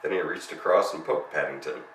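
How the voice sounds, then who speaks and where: A man's voice: extremely low pitch, brisk. A man narrating a children's story as a storyteller, reading expressively. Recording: roomy, distant mic, faint noise.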